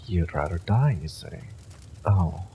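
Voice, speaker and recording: An actor playing an evil male sorcerer, smugly polite and sadistic, in a slow, theatrical audio drama full of menace. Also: low-pitched, animated, dark tone, a little hiss or hum. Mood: taunting menace. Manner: slow, deliberate, theatrical threatening delivery. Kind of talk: dramatic audio roleplay monologue.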